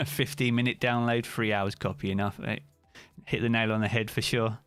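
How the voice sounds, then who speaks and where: A man's voice: lowish voice, steady tone, normal speed, a bit bright, clear, resonant tone, no room sound, soft background music. A British man streaming live, chatting casually and answering viewers' comments by name.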